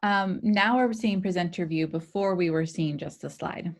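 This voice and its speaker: Woman moderator, low-pitched voice, short friendly interjections on a video call. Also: normal speed. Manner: brief friendly interjections and laughter. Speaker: webinar moderator. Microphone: computer mic on a video call.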